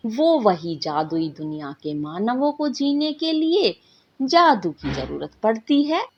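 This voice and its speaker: A woman's voice: on the high side, big pitch swings, slow, highs cut off, slight background noise, full character voice. A woman voicing a strict, commanding male magic teacher who lectures and scolds in a bedtime story. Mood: stern.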